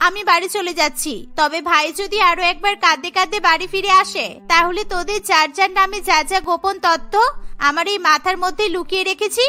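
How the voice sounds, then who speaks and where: A woman's voice: extremely high pitch, steady tone, brisk, pushed and bright, faint music behind. A woman voicing a bold, protective young girl, a bossy but caring big sister in a cartoon. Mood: indignant, protective. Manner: feisty, scolding, protective. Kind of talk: cartoon dialogue acting.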